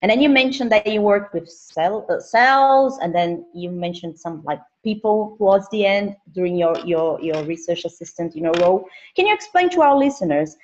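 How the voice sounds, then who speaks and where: A woman hosting a podcast interview from home over a call, talking in halting, restarting phrases. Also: mid-range voice, animated, darkish tone, lo-fi sound. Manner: hesitant, restarts sentences. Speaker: podcast host, lecturer. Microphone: home setup over digital platform.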